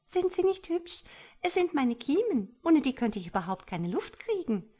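Woman as a high, cheeky young tadpole girl. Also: very animated, normal speed, dark tone. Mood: smug. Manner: pert, flippant, dismissive. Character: cheeky young female tadpole, smug, carefree and modern.

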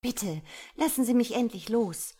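A defiant female heroine from a romance audiobook, acted by a woman narrator. Her voice is pushed higher, with a husky edge. She protests in an agitated, emphatic and indignant manner. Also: expressive, measured pace, warm tone. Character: defiant, indignant young woman resisting a captor. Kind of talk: romance audiobook character dialogue.

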